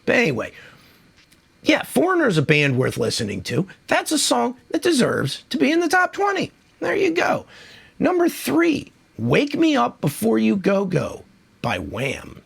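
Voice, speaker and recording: A man's voice: very high-pitched, huge pitch swings, unhurried, vocal fry, husky. A man who is losing his voice from a cold, hosting a casual music countdown in a chatty, enthusiastic, rambling way. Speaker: music video host.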